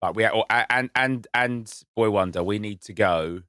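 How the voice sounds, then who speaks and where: A man co-hosting a casual podcast, telling stories with enthusiasm and a touch of self-mockery. His voice sits on the low side. The sound is dry and close, with no room echo. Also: normal speed, pushed and bright, clear, resonant tone. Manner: enthusiastic, self-mocking storyteller. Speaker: podcast co-host. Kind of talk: casual chat.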